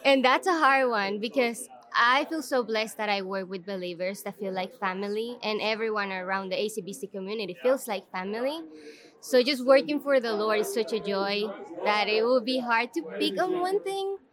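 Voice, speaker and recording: A young woman from El Salvador, speaking English as a guest in a casual interview, earnest and quick to laugh, with frequent "um" pauses. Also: fairly high pitch, a crowd in the background. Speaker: guest, ministry content manager.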